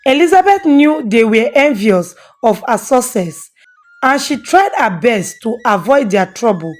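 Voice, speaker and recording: A woman's voice: fairly high pitch, very animated, measured pace. A woman storyteller giving a scripted narration of a folktale. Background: faint music.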